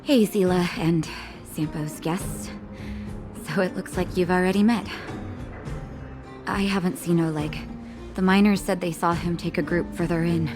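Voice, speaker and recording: An actress playing a calm, kindly young woman doctor in an anime game, explaining things gently and with composure. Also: fairly low pitch, a little slow, natural voice. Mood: composed, friendly. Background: game music.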